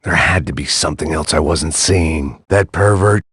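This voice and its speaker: A world-weary middle-aged ex-cop narrates a noir video game in a bleak, sardonic deadpan. His voice sits extremely low and sounds husky and gravelly. It is recorded dry and close, with no room sound. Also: moderate pace, no room sound, exaggerated voice. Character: world-weary, hard-drinking male ex-cop antihero.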